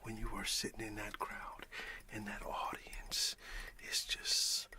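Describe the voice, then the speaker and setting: A man playing a warm, excited father who talks affectionately and in a folksy way to his young son in a breathy whispered ASMR roleplay. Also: lowish voice. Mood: excited, admiring. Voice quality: breathy whisper with gravelly creak. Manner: unhurried, folksy, affectionate, with long pauses.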